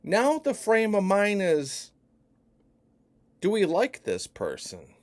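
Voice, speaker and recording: A man from Chicago giving an emphatic, profane opinion rant that swings between hushed and loud. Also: sits high, wildly swooping pitch, takes it slowly. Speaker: vlogger.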